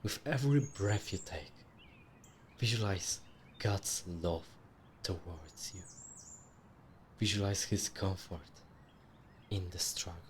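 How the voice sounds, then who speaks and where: Male meditation guide, low register, soothing and measured with long pauses, birdsong ambience behind. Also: expressive, slight background noise. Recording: clearly audible background ambience with birdsong. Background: birdsong and nature ambience.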